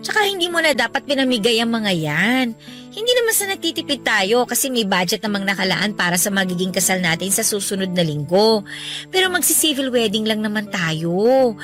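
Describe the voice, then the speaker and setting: Devoted fiancée, Filipino accent, high-pitched, tender, emotional, radio drama. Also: female voice, fairly bright tone. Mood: affectionate, gently chiding. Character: devoted bride-to-be and mother, tender, pleading.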